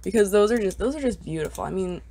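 A woman's voice: mid-range voice, animated, fast, mellow. Congested young woman gushing over game items. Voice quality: scratchy. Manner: chatty and bubbly, gushing over cute items. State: congested, scratchy voice. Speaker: gaming YouTuber. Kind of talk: casual gameplay commentary.

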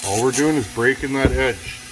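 Man, workshop tutorial, relaxed chatty delivery, middle pitch, grinder noise behind. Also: normal speed. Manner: relaxed, chatty, self-deprecating asides while working. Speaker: chainsaw builder demonstrating porting. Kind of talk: casual hands-on workshop tutorial. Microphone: camera close to workbench, speaker off camera. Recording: noisy workshop with power tool. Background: rotary grinding tool whirring.

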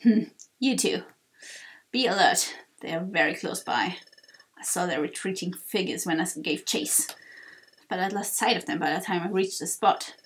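A woman voicing an earnest, protective bird guide in a video game, grave and halting, with exclamations. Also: on the low side, expressive, close to an everyday voice. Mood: uneasy.

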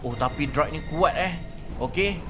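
A man with a Malaysian accent hosting a gaming video, giving excited, theatrical and repetitive role-play commentary on his play. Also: fairly high pitch, moderate pace, music in the background.

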